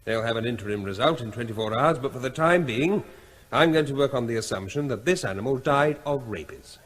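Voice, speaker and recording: An actor playing a brisk, curt veterinary officer who takes command in a radio drama. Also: male voice, medium pitch, expressive, a bit bright, long reverb tail, lightly acted. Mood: brisk, firm.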